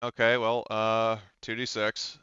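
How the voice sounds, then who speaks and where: A man's voice: fairly low pitch, completely flat delivery, a little slow, bright, forceful tone, lo-fi sound, slight background noise. A man narrating a tabletop roleplaying game as game master, improvising in a hesitant, rambling way full of filler words.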